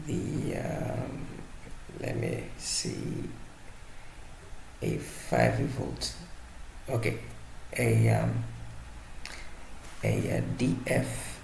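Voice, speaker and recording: A man giving a casual, unscripted hands-on tutorial as presenter, halting as he searches for words and repeats himself. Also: mid-range voice, creaky, darkish tone. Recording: roomy echo, faint background noise.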